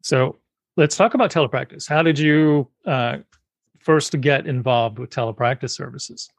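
A man's voice: mid-range voice, normal speed, dry recording. A man hosting an interview, friendly and affirming, often prompting with short murmured agreement. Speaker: interviewer, host. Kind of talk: casual conversational interview.

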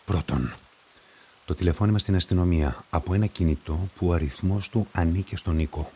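An actor playing a methodical police investigator who reasons aloud deliberately in a radio drama. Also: male voice, sits low, dark tone, slight background noise, close to an everyday voice. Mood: analytical.

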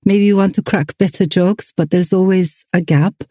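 A middle-aged woman with a Turkish accent, a novelist answering interview questions in a thoughtful, measured way with soft fillers. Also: on the low side, steady tone, no echo, studio quality. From Turkey. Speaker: novelist guest. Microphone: remote line from home.

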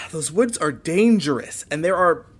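An actress playing a worried, protective guardian in an audio drama, speaking in a stern and earnest way. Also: female voice, low voice, animated, measured pace, slightly rough, full character voice. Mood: grave, subdued. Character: protective, worried adult guardian of a teenage girl. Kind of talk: naturalistic audio drama acting.

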